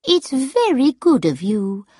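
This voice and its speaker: A woman voicing a grand, moody, eccentric eighty-year-old lady in a story, with a high, drawn-out delivery. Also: wildly swooping pitch, a little mellow, breathy edge, no room sound, studio quality, exaggerated voice. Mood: grand, gracious.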